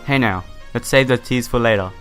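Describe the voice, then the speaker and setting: Male let's play host, medium pitch, informal viewer-facing commentary and read-aloud, game music underneath. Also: fairly quick, fairly bright tone. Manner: informal, addresses viewers directly. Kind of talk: casual video game playthrough commentary, reading story text aloud. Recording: music playing behind the voice.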